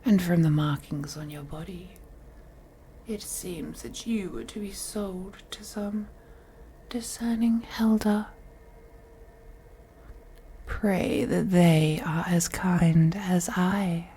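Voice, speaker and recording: Slow, breathy vampire seductress played by a woman. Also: on the low side, fairly even intonation, heavily acted. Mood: hungry, seductive. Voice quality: soft, breathy. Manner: slow, teasing, condescending, drawn-out phrasing with breathy whispers. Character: wealthy elder vampire woman, haughty, seductive, toying predator. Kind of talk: intimate roleplay audio drama monologue.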